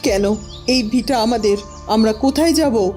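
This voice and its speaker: A woman's voice: on the high side, measured pace, dark tone, faint music behind, lightly acted. A woman voicing a poor, frightened village mother who pleads in a cartoon. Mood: frightened pleading. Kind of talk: cartoon voice acting.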